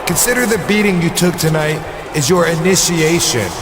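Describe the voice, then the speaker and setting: A man's voice: on the high side, normal speed, close to an everyday voice. A man voicing a smug wrestling gang leader with an emphatic, taunting promo in a video game cutscene. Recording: loud ambient noise behind.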